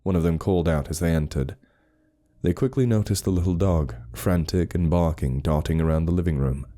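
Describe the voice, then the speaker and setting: Very deep, dark-voiced male horror narrator. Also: fairly quick. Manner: grave, suspenseful storytelling. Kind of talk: scripted horror story narration.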